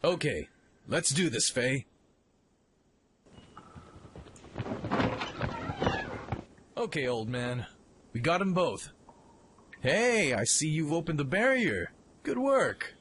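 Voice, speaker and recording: A man playing a brash, eager young mech pilot in an audio drama, informal and excitable in his delivery. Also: on the high side, a little slow, noisy background. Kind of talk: fan audio drama acting.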